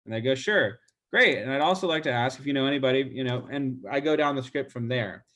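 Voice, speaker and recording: Guy with a stuffy nose running a sales call role-play on a video call, chatty, lots of ums, mid pitch. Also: quick pace, a bit bright. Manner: casual, chatty, many fillers. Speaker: real estate agent demonstrating a call script. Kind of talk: role-play training demo.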